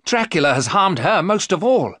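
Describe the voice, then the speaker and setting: Man voicing an impassioned young husband, urgent and protective, lively intonation, dry sound. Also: mid-range voice, moderate pace, dry recording, pitched above the performer's own voice.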